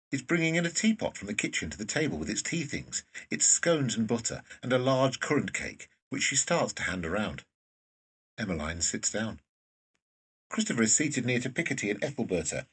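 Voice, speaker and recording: Male storyteller, audio drama narration, heavily rasping voice, animated intonation. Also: lowish voice, poor audio. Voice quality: raspy.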